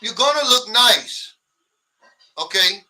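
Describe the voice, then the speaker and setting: A blunt, animated man in his sixties chats on a livestream, reading out viewer comments and answering them. His voice is high and very bright, a little off the mic. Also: takes it slowly, far from the mic, rough recording. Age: 60s. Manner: blunt, animated, mimics others' complaints. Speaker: livestream host.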